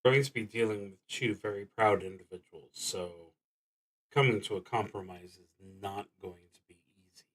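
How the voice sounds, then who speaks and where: A man streaming a solo roleplaying game, reading prompts aloud and thinking out loud in a deliberate, halting way that trails off into muttering. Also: fairly low pitch, low-quality recording.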